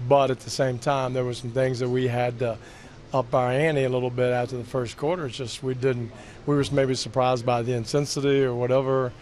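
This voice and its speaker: A man's voice: medium pitch, level tone, brisk, a little mellow. An older man speaking at a postgame press conference as head coach, matter-of-fact. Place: press room. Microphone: podium mics.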